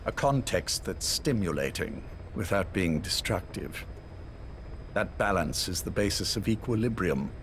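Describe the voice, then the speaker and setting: Old spy boss for a game, low voice, formal n calculating, bit of tape noise. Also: male voice, expressive. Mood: cool, calculating. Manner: formal, measured, articulate. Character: ageing British intelligence officer, cool calculating Major, wistful veteran. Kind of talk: video game audio drama dialogue. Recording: cassette tape with faint noise.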